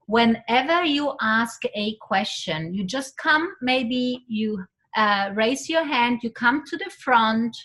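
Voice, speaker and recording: Middle-aged woman talking to a class in english, high bright voice, takes her time. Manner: slow and coaxing, prompting the class to answer. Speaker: event host. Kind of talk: addressing a class of students.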